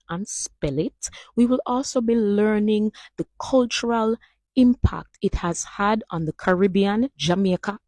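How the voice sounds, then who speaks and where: A woman's voice: medium pitch, dark tone, no echo. A woman giving a playful mock lecture that welcomes students to a course. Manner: teacherly, announcing a course. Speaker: social media creator.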